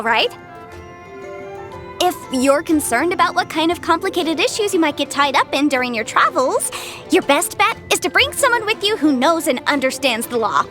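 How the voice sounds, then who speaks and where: A young female legal adviser from an anime-style game. Her voice sits high and swings freely up and down. She speaks articulately and theatrically, with chatty self-assurance and a taste for formal phrasing. Light music plays behind her. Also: fairly bright tone. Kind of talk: anime-style video game character voice lines.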